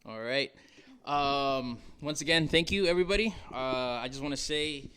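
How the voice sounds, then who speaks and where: A man's voice: on the high side, normal speed, bright, forceful tone. A man hosting a podcast in a casual, conversational style, rambling and joking as he goes. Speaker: podcast host.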